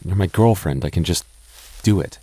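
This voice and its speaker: A man voicing a gentle, shy goth boyfriend in an ASMR roleplay, soft-spoken and stammering as he reassures his listener. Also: low voice, moderate pace, no echo. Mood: relieved. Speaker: voice actor.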